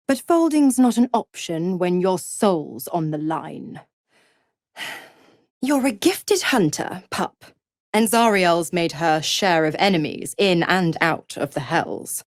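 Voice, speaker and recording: A woman's voice: mid-range voice, no room sound, studio quality. An actress voicing a seductive, menacing female devil in a video game, theatrical and condescending, savoring each word as she taunts. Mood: mocking, playful. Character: smug, seductive, menacing female devil, cruel mistress of pacts.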